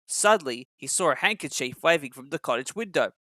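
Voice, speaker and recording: A man's voice: a little high, lively intonation, a little fast, sharp tone, no echo. A man reading a children's story as a scripted narration.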